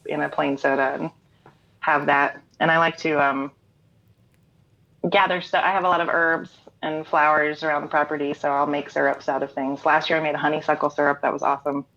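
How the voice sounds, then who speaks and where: Low, even-voiced American woman, slightly muffled. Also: brisk. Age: middle-aged. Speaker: interview guest, singer-songwriter and writer. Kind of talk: casual interview chat. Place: remote online call from home. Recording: dull lo-fi online call audio.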